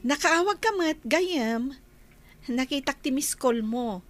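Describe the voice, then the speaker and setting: Actress as a gentle young working woman, high voice, unhurried delivery, radio drama. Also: expressive, music in the background. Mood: friendly, chatty.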